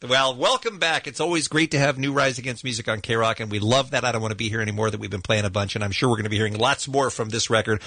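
A man's voice: mid-range voice, quick pace, few pauses, pushed and bright, no echo. A male radio host in a studio, upbeat and teasing as he runs morning show banter. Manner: upbeat, plugs and teases.

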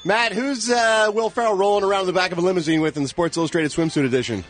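A man's voice: sits high, fast, faint music behind. A man doing the entertainment segment on a morning radio show, rambling and joking with co-hosts. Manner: rambling, jokey.

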